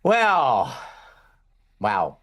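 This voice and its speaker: A man hosting an online group call, speaking in a casual, heartfelt way, halting and repeating phrases for emphasis. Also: lowish voice, huge pitch swings, a bit bright. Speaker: host of an online community call.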